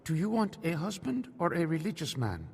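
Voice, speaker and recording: A defiant Iranian pilgrim. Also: male voice, a little high, sing-song, fairly quick, creaky, darkish tone, breathy edge, in a large hall, exaggerated voice. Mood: earnest. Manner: earnest, defiant. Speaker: protagonist of radio drama. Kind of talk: radio drama acting and first-person narration.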